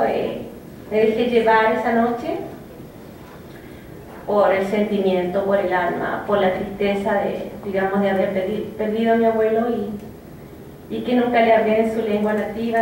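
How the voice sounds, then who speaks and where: A woman poet giving a reflective, halting personal talk, with many fillers and restarts. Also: medium pitch, level tone, darkish tone. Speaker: poet speaking of her native language. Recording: echoey room, from a distance, faint noise.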